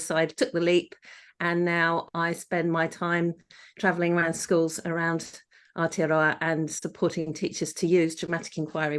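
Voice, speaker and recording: A middle-aged woman from New Zealand with an English accent, co-hosting an informal online workshop on a video call. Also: fairly low pitch. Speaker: workshop co-host, teacher trainer.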